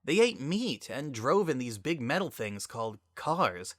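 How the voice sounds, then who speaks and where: A bright-toned, weary young mare from a cartoon pony story, acted out by a man, guarded and wry as she speaks. The sound is dry and close. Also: mid-range voice, sing-song, measured pace, no echo, voice pushed up. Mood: reflective.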